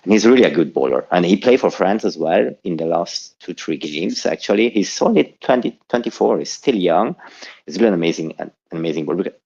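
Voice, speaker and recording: A man's voice: low-pitched, expressive, fairly quick, vocal fry, warm tone, slightly rough, highs cut off. A man from southern Switzerland whose first language is Italian, calling in to a show and chatting casually in a rambling way, with many restarts. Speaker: caller. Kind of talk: casual call-in chat. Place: home in Zurich.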